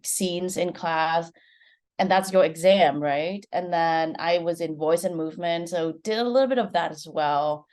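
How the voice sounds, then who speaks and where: A woman in her twenties with a Vietnamese accent and a notably low voice for a woman. She talks casually and loosely, sprinkling in "like" often as she answers interview questions. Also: darkish tone. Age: 20s. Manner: chatty, leans heavily on "like". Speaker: film director guest.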